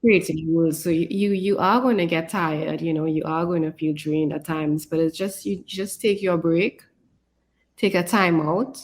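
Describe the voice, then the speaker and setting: A woman's voice: low voice, steady tone, moderate pace. A woman author chatting casually about writing in an encouraging way, often repeating phrases for emphasis. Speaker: author, co-presenter.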